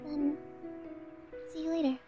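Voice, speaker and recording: A woman's voice: high-pitched, steady tone, a little slow, music in the background, voice pushed up. A woman voicing a shy, flustered teenage schoolgirl who overthinks anxiously, in an amateur comic fandub. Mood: embarrassed.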